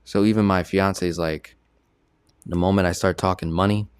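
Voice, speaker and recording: A man's voice: deep voice, fairly even intonation, moderate pace, smooth, no room sound. A man giving a casual online presentation as a personal finance coach, talking conversationally and often checking in with "right?". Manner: conversational, keeps asking "right?".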